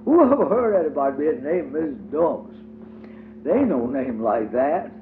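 A man's voice: a little high, sing-song, moderate pace, gravelly, voice pushed up. A man voicing a bossy little girl who gives orders to the others. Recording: tinny phone-like audio, faint hiss.

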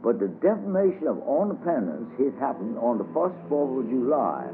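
A man's voice: medium pitch, sing-song, moderate pace, slight background noise, pitched above the performer's own voice, natural voice. A man voicing a good-natured old wagon driver who fumbles big words, on a tinny radio broadcast. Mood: folksy. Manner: folksy, mixes up big words. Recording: tinny phone-like radio sound.

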